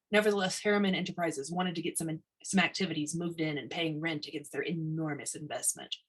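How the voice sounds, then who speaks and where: A woman reading a story aloud in an expressive audiobook narration style. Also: lowish voice, slightly rough, rough recording.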